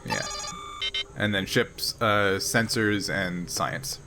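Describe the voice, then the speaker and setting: A man acting as game master, casually explaining a tabletop roleplaying game in a halting way. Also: sits low, background noise.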